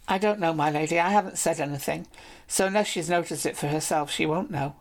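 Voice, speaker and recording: A woman's voice: low-pitched, fairly quick. Woman playing a shy lovestruck knight. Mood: earnest, pleading. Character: young male knight, brave but lovestruck, shy.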